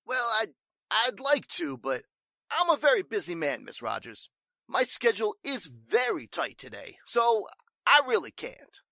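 A man's voice: sits high, huge pitch swings, a little slow, sharp tone, no echo, heavily acted. A man playing a flustered, evasive warehouse owner in 1940s radio drama style, stammering and speaking with stuffy formality.

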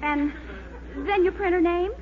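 A woman's voice: very high voice, unhurried, some chatter behind. An American actress playing an adoring, encouraging young girlfriend in 1940s radio comedy, gushing and wide-eyed, on an old recording. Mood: wide-eyed curiosity. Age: young adult. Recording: muffled, faintly noisy 1940s radio recording.

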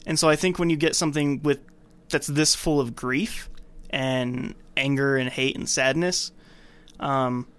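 A man's voice: medium pitch, steady tone, unhurried, a bit bright, clear, resonant tone. A man hosting a podcast, giving a scripted film recap with casual commentary. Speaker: podcast host.

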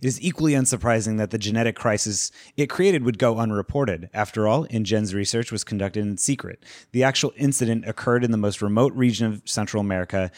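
A male podcast host with a fairly low voice, recorded dry and close with no room sound. He talks in an easy, relaxed manner and reads passages aloud at an even pace. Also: fast, hardly any pauses, no room sound. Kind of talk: casual podcast chat.

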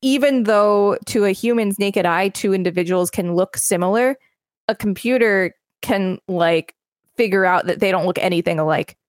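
A woman's voice: medium pitch, moderate pace, no echo, clean studio sound. A woman co-hosting a podcast, reading aloud and chatting casually. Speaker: podcast co-host.

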